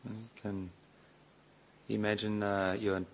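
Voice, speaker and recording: A man teaching a guided meditation slowly and hesitantly, pausing often between short phrases. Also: fairly low pitch, level tone. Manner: slow, hesitant delivery with long pauses. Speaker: meditation teacher, Buddhist monk.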